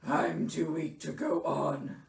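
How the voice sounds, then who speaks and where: A woman voicing a grumpy, self-pitying male bear who is ill, gruff and pompously melodramatic, in a children's storybook. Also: low voice, flat delivery, pitched below the performer's own voice, heavily acted. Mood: grave, grumpy. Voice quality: gruff, growly. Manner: loud, pompous, melodramatic, drawn-out. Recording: roomy echo.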